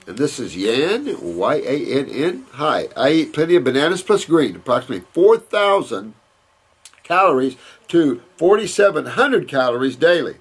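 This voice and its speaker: A man's voice: a little high, sing-song, normal speed, slightly rough. An older man, a naturopath, talks casually and rambles as he reads out viewer letters and answers them with emphatic advice. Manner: rambling, emphatic, repeats words and restarts.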